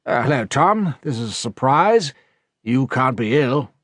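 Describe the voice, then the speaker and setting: A man's voice: mid-range voice, very animated, a little slow, a bit bright, no room sound, clean studio sound, pitched above the performer's own voice. A man voicing a plump, genial village doctor in an audiobook, English, with clipped questions and hesitant little ums. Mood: genial, curious.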